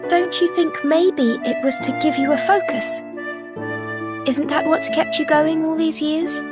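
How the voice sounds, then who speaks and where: Kindly young sleuth for a mystery game: high voice, soft questioning manner, music underneath. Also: female voice, a little slow. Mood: kindly, assured. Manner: gentle, questioning. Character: bright, kindly young lady detective, gently persuasive. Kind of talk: animated mystery game voice acting. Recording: clear music behind the voice.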